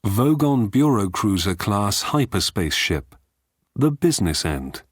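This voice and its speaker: A man's voice: lowish voice, big pitch swings, measured pace, no echo, studio quality. A man reading scripted mock-encyclopedia notes as a guide narrator.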